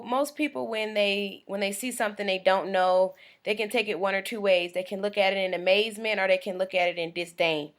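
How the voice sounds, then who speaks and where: A woman's voice: mid-range voice, a bit bright. Chatty young American woman vlogging. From United States. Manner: chatty, rambling, with frequent ums. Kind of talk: casual vlog monologue. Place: small indoor room. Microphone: webcam at close range.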